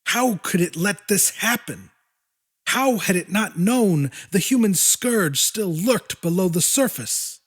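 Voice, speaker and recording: A man's voice: high voice, animated, slow, fairly bright tone, husky. A man reading scripted fiction as an audiobook narrator, in a dramatic storytelling style.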